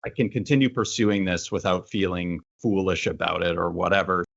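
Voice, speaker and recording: A man asks interview questions in a reflective, conversational way. His voice is low and full of fry. The audio is a bit dull. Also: fairly even intonation, brisk, fairly bright tone.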